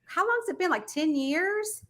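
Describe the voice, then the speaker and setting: A woman's voice: extremely high pitch, animated, measured pace, fairly bright tone. A woman with a Southern US accent hosting a podcast interview, bubbly and gushing, laughing often. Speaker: podcast host. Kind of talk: casual interview chat.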